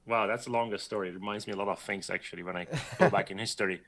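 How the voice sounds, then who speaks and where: Male guest from Iran, bright forceful tone, loose rambling storyteller. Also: fairly low pitch, steady tone, very fast. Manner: rambling, self-correcting storyteller, laughs often. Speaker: guest artist. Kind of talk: casual livestream chat.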